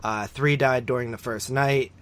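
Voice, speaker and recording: A man co-hosting a podcast in a casual, informal way, recounting his notes with frequent hesitant pauses. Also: medium pitch, fairly even intonation. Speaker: podcast co-host.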